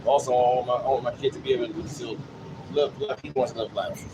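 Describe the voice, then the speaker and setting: Man rambling casually over clear vehicle noise. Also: medium pitch, fairly even intonation, fast talker. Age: late 30s. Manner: repetitive, peppered with "you know what I'm saying". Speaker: vlogger. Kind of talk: casual rambling monologue with anecdotes. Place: on a city bus.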